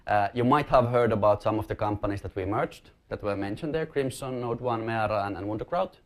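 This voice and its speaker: A man speaks in a fairly low voice with a Finnish accent. He presents at a conference as a company executive, explaining things informally and a little hesitantly. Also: a little fast. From Finland. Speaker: company chief executive giving a talk.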